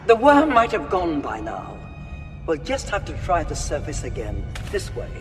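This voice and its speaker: An actor playing a stern, impatient soldier leader in a sci-fi audio drama, commanding and curt, in a reverberant tunnel. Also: male voice, a little high, wildly swooping pitch, normal speed, soft background music. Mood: firm.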